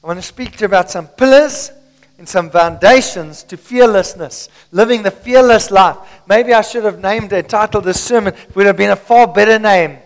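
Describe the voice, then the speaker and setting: High-voiced preacher in a reverberant hall. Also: male voice, normal speed. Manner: rousing, call-and-response preaching. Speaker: pastor. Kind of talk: sermon. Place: large church hall. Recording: long church hall reverb.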